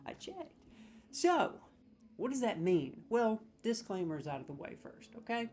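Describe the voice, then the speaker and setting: Excitable rambling man hosting gaming comedy. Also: on the high side. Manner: excitable, rambling, sarcastic, swears freely. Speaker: gaming video host.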